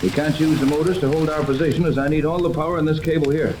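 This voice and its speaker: A man's voice: on the high side, steady tone, racing, lightly acted. Guy playing confident old-time radio yacht captain, earnest inventor type, roomy hissy vintage recording. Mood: commanding. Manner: commanding, explanatory. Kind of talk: 1930s radio serial acting. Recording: noisy, echoey 1930s radio recording.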